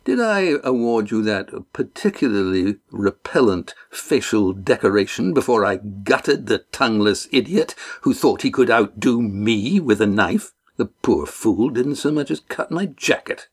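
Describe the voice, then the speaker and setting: A man voicing a menacing, sneering ship's master in an audiobook, with a smooth, taunting, overbearing manner. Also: mid-range voice, very animated, takes it slowly, exaggerated voice. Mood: menacing mockery. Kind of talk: audiobook character dialogue.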